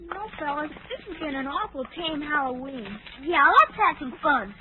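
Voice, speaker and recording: A cheeky little boy in an old radio comedy. The voice is extremely high, blunt and eager, heard through a tinny recording with faint noise. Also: lively intonation, moderate pace. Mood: mischievous. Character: cheeky young boy out trick-or-treating. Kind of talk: 1940s radio comedy acting.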